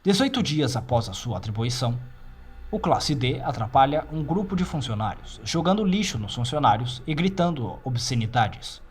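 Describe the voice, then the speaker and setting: Male narrator, Brazilian accent, mid-pitched, measured and formal, for clinical horror-fiction files. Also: sing-song, breathy edge, faint music behind. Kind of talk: scripted narration of clinical report.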